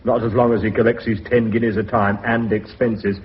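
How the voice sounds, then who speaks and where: A man's voice: lowish voice, flat delivery, brisk, close to an everyday voice. A blunt British male voice from an actor as a sales director in a radio play, jocular and needling toward his colleagues. The recording is an old, dull broadcast with faint noise. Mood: sarcastic. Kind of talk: radio drama acting.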